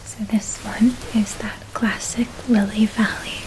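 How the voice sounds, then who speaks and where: A woman playing a gentle, nurturing witch in a whispered ASMR roleplay, speaking in a soft, breathy, doting manner and lingering over details. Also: mid-range voice, little pitch movement, unhurried, lightly acted, low-quality recording. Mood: gentle, caring. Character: gentle, nurturing witch helping a young student pack.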